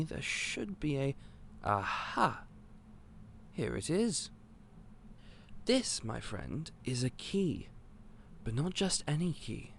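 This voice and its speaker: A man playing an eccentric, otherworldly candy store owner in an audio roleplay, polite and gentle but a little scatterbrained. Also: medium pitch, vocal fry, slight background noise. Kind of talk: scripted audio roleplay to the listener.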